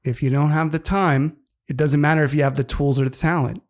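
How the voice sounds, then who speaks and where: A man's voice: fairly high pitch, steady tone, brisk. A man in his fifties co-hosting a casual podcast, rambling enthusiastically about music. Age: 50s. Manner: rambling, enthusiastic music talk with many fillers. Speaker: co-host.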